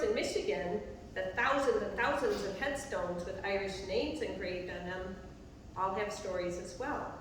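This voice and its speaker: A woman giving a measured, prepared public talk as a co-author at a library event. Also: mid-range voice, a little hiss or hum. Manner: measured, reads figures clearly, addresses audience. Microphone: distant room mic. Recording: echoey hall recorded from far off.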